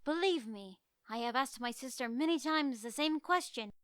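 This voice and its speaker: A woman voicing a dignified, gentle pony princess with formal, stately phrasing in a fan fiction reading. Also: sits high, expressive, normal speed, clean tone, dry recording, clean studio sound. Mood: weary, sympathetic. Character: dignified, gentle, regal dark alicorn princess mare.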